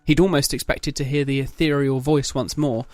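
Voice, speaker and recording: A man giving a measured, literary narration of a scripted dramatic story. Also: medium pitch, fairly even intonation, a little mellow.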